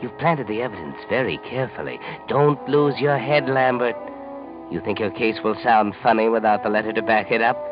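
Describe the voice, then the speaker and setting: A man's voice: lowish voice, a little fast, close to an everyday voice. Sinister, mocking radio narrator over music , a man acting. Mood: mocking, insinuating. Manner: insinuating, knowing, addresses the character directly. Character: sinister, mocking male omniscient narrator, needling a criminal. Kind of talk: 1940s radio mystery narration. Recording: muffled vintage radio with music behind.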